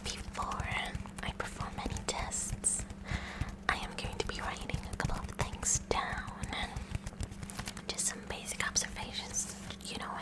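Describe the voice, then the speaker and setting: Casting: a woman to play an oddball, eager schoolgirl science president, fully whispered, unhurried and officious, for an ASMR roleplay. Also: lightly acted, rough recording. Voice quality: breathy, unvoiced whisper. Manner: slow, deliberate, mock-official with awkward stammers. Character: kooky, eager teenage girl science club president.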